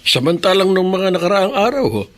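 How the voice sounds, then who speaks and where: A man's voice: high-pitched, brisk, fairly bright tone. An actor with a Filipino accent playing a concerned middle-aged father in a radio drama.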